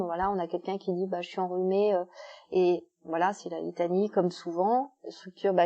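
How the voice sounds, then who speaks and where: A woman speaker explains step by step through everyday examples, often pausing with fillers. Her voice is dark and soft-edged. The recording sounds somewhat dull and muffled. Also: medium pitch. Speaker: guest speaker, author. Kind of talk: explanatory talk at a club meeting.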